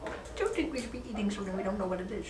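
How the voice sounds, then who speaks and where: A woman's voice: medium pitch, racing, background noise. A teenage girl telling a rambling, absurd improvised story in a classroom, often giggling, recorded by a camera set up across the room. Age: teens. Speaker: student storyteller. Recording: echoey classroom, distant camera.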